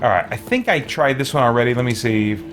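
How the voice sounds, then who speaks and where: A man's voice: mid-range voice, animated, fairly quick, music in the background. A man casually commentating on his gameplay, thinking aloud and muttering to himself. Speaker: livestreaming gamer, let's play host.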